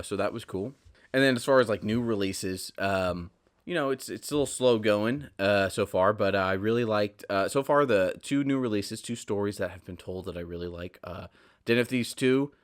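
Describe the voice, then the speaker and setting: A man in his twenties hosting a solo podcast, talking casually in a candid, rambling, self-deprecating way. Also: fairly low pitch. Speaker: podcast host.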